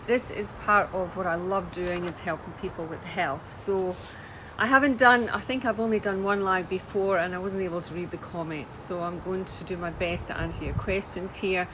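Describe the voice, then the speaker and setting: A woman's voice: lowish voice, steady tone, moderate pace, background noise. A woman with a Scottish accent, a wellness coach talking casually on a livestream, loose and chatty and often restarting her sentences. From Scotland. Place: home in Australia.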